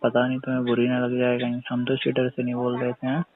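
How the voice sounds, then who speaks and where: A man's voice: mid-range voice, completely flat delivery, fast talker, lightly acted. A man playing a suggestive employer on a phone call, coaxing and circling hesitantly, with frequent tag questions in casual colloquial talk. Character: wheedling, suggestive male employer bargaining with his maid. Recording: noisy, dull phone-call recording with clicks.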